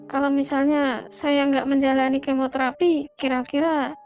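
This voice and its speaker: Casting: woman voicing troubled young female patient, high pitch, hesitant and polite, cartoon drama, prominent music underneath. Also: flat delivery, moderate pace, darkish tone. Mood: worried, downcast. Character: worried, poor young woman patient receiving grim news. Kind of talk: animated horror drama dialogue. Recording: voice over prominent background music.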